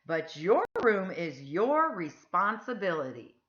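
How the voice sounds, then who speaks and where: A woman's voice: lowish voice, very animated, slow, fairly bright tone, dull audio, heavily acted. A woman reading a storybook, voicing an exasperated mother who firmly scolds her young son.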